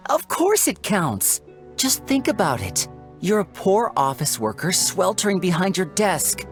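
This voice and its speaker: A woman's voice: medium pitch, sing-song, slow pace. A woman playing a playful young stage magician in a video game, charming, teasing and showy in delivery. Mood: teasing, animated. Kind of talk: scripted video game character dialogue. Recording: music behind the voice.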